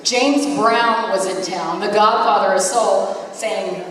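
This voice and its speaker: A woman's voice: mid-range voice, slow pace. A woman presenting awards at a public event in an enthusiastic, chatty way. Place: large hall at a public event. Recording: echoey PA in crowded hall, distant.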